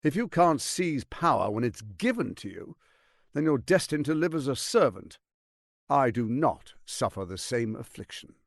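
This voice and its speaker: An actor playing a suave, ambitious, scheming lord in a video game, speaking in a theatrical, articulate and condescending way. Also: male voice, medium pitch, sing-song, moderate pace, creaky, dry recording, studio quality. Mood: cold, condescending. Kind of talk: dramatic video game voice acting.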